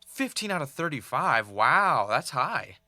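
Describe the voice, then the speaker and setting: Earnest, bright-voiced podcast host. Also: male voice, a little high, very animated, a little slow, no echo, clean studio sound, heavily acted. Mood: doubtful. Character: earnest, doubtful male reality-show podcast host. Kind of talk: fictional podcast narration and conversation.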